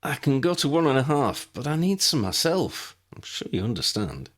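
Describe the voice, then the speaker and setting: A man voicing a nervous, eager young male wizard, pitched well above his own voice. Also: very animated, normal speed, a bit bright.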